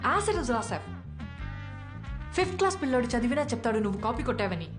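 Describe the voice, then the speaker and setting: An actress playing a sharp, blunt young woman lawyer who talks briskly and scolds freely. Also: fairly high pitch, sing-song. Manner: brisk, scolding, mixing English into Telugu. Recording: film soundtrack with clear music behind.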